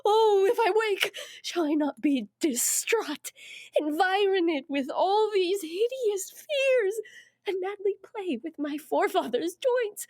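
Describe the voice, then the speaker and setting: A woman's voice: very high-pitched, animated, slow pace, darkish tone, no room sound, studio quality, full character voice. A woman playing a frightened, desperate young girl in a classical Shakespeare play, anguished and theatrical. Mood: terrified dread. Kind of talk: classical Shakespearean stage acting.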